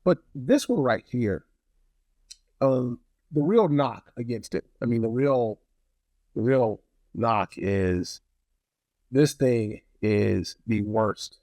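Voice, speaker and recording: A man's voice: mid-range voice, expressive, takes it slowly, long pauses, dark tone, dry recording. A man giving a casual, conversational hands-on product review, often repeating phrases for emphasis.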